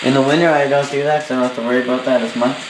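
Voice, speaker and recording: Casual male hobbyist reviewer, thinking out loud; roomy sound with clear background noise. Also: medium pitch, steady tone, very fast. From Canada. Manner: rambling, thinking aloud while handling gear. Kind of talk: casual product review chat. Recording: roomy, echoey, noisy radio static behind.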